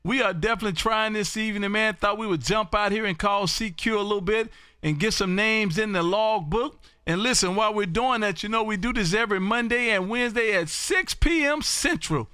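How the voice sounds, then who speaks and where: An American man calling out as an amateur radio live streamer, loud and showy, often repeating his phrases. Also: high-pitched, a little fast, smooth, no room sound. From Texas. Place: home radio room.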